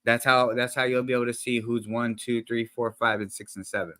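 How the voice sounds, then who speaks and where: A man chats loosely to his viewers in a reaction video, speaking slangy and rambling with lots of restarts and fillers. His voice sits at an ordinary middle pitch. It comes through a close desk microphone in a dead, dry room with almost no echo. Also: little pitch movement, brisk, a bit bright. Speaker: reaction channel host. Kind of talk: casual reaction commentary. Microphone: desk microphone, sometimes peaking.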